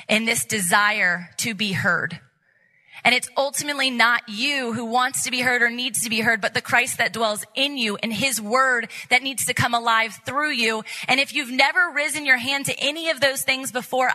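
A woman with an extremely bright, forceful voice, pitched fairly high, gives a motivational faith talk as a conference speaker. She exhorts her listeners earnestly and repeats phrases for emphasis. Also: moderate pace, rough recording.

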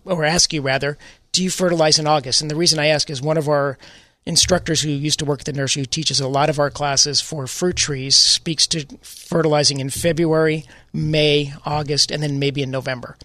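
A middle-aged man from New York co-hosting a garden talk show, explaining in a measured, careful way with small restarts. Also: fairly high pitch, fairly even intonation, pushed and bright, dry recording. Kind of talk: casual radio chat.

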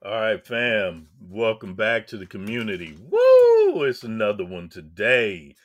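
A man's voice: on the low side, wildly swooping pitch, a little slow, dry recording, dull audio. A man hosting a podcast interview in a casual, slangy way, greeting listeners as family and the guest as brother. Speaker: podcast host.

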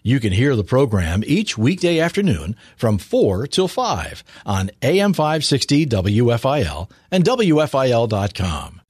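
A man's voice: medium pitch, sing-song, a little slow, few pauses, darkish tone, husky, no room sound, studio quality. A man reading a scripted announcement for a radio show.

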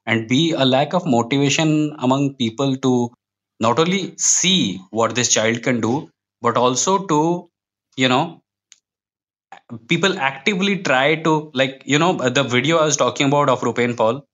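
A man's voice: mid-range voice, moderate pace, fairly bright tone, highs cut off. A man with an Indian accent talking conversationally as a podcast guest, reflective and opinionated as he lays out his points. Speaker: guest, comic book writer.

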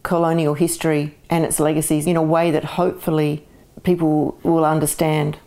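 A woman's voice: low-pitched, little pitch movement, normal speed, warm tone. A woman, an artist talking frankly and with humour in an interview. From Scotland. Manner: frank, opinionated, laughs often. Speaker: artist guest. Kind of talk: casual conversational interview.

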